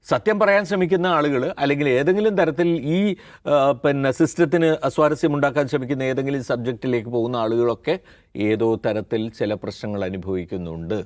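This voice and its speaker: A man's voice: medium pitch, fast talker, no echo, studio quality. A man with a Kerala accent giving opinionated current-affairs commentary as a panelist. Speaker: panelist on a news discussion show.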